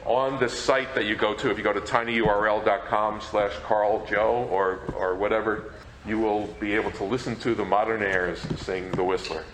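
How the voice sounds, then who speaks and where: A man's voice: lowish voice, steady tone, normal speed, fairly bright tone. A man speaking informally as a panel presenter at a conference. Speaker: panel speaker and program collector. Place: roomy indoor conference presentation space. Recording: echoey room, faint noise.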